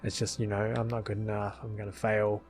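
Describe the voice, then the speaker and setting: A man's voice: fairly low pitch, flat delivery, brisk, darkish tone, faint music behind. Casual man rambling through commentary. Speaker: video creator explaining his fan film edit.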